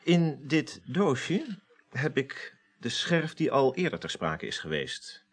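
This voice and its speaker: Precise, animated male lab technician. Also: mid-range voice, husky, full character voice. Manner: precise, measured, explanatory. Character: male laboratory technician, precise, methodical, careful expert. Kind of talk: radio drama acting.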